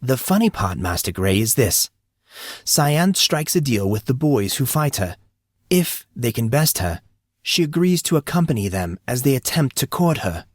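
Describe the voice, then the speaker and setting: A man's voice: mid-range voice, big pitch swings, normal speed, dry recording, clean studio sound. A man voicing an amused, courteous school employee who speaks formally and precisely, patiently explaining. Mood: dryly amused.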